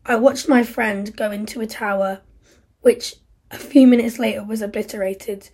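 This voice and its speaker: Woman doing a wrecked angry guy in a story, bitter, mellow husky voice. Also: mid-range voice, fairly even intonation, moderate pace. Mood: anguished. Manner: anguished, bitter, raised voice. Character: grief-stricken angry young man, survivor.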